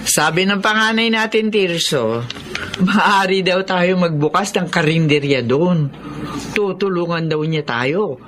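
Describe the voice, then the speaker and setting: An actress playing a gentle, caring middle-aged mother in a Filipino radio drama, soothing and motherly. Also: on the low side, a little slow, slight background noise.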